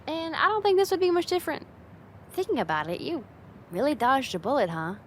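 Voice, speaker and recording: A woman's voice: high-pitched, lively intonation, fairly quick, slight background noise. A woman playing a friend's teasing older sister in a casual, conversational ASMR roleplay spoken straight to the listener. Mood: chatty, exasperated.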